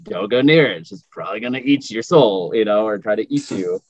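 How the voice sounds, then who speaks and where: A man's voice: animated, a little fast. A man chatting casually as a podcast host, in an informal, slangy and emphatic way. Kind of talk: casual chat. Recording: roomy, muffled low-fidelity audio.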